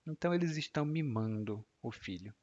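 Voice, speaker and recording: A man from Ceará in his thirties with a Brazilian Portuguese accent, a teacher patiently explaining vocabulary in a lesson with soft asides. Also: medium pitch, expressive, brisk, highs cut off.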